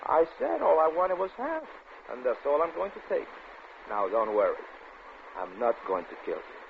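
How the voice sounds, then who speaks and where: An actor playing a wry, guarded soldier of fortune in a 1940s radio drama. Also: male voice, a little high, big pitch swings, normal speed, vocal fry. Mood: firm. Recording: tinny, noisy old radio recording.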